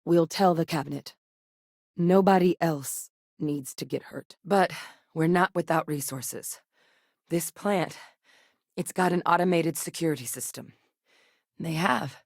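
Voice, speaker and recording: A no-nonsense military scientist with a lowish, level voice, brisk and composed in a dry, matter-of-fact manner. The sound is close and completely dry, with no room around it. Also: female voice, no room sound. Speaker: game character voice lines. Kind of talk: video game dialogue.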